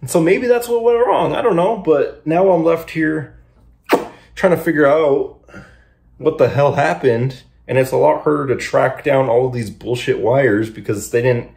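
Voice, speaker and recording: A man's voice: a little high, normal speed, creaky, a little mellow, slightly rough. A young man narrating a DIY car vlog casually and informally, thinking aloud with mild exasperation. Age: young adult.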